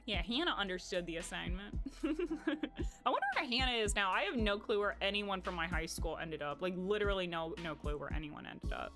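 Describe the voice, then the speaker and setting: A young woman with a light Midwestern accent chats with her stream audience in a loose, rambling way, restarting sentences and leaning on "like". Her voice sits at an ordinary middle pitch, with quiet music in the background. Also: big pitch swings, fairly quick. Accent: Midwestern American. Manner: chatty and rambling, heavy on "like" and restarts. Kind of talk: casual stream chat.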